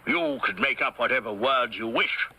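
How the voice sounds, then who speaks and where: Husky, forceful Victorian police inspector. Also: male voice, mid-range voice, wildly swooping pitch, normal speed, heavily acted. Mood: brisk, assured. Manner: emphatic, formal, theatrical phrasing. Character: brisk, confident Victorian Scotland Yard police inspector, male. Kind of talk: old-time radio drama acting. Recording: old radio drama broadcast.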